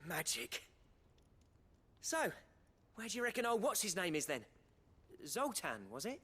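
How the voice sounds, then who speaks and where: An actor playing a friendly, plain-spoken rebel comrade, chummy and coaxing, in a video game. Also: male voice, high-pitched, very animated, measured pace, bright, forceful tone, husky, full character voice. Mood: breezy.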